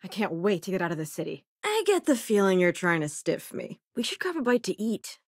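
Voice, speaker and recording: A woman's voice: mid-range voice, very animated, a little fast, slightly rough, no echo, studio quality. A woman playing a self-assured young robotics researcher aboard a spaceship in a video game, with a wry, casual delivery.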